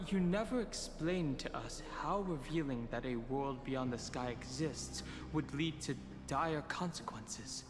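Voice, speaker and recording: Earnest direct young man, higher-pitched voice, reverberant game scene, music behind. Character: earnest young male outsider challenging a ruler.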